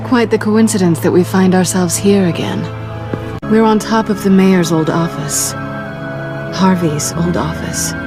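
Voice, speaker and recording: An actress playing a sly, tough, flirtatious cat burglar with a playful, sardonic and cocky delivery. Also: female voice, fairly low pitch, a little slow, lightly acted. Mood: warm, wistful. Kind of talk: scripted game or film acting. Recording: music under the voice.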